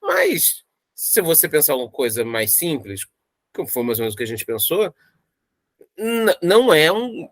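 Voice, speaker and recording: Male audio-drama writer, encouraging advice, fairly high voice, dry sound. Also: wildly swooping pitch, unhurried, no room sound. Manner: earnest and encouraging, repeats himself. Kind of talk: interview advice.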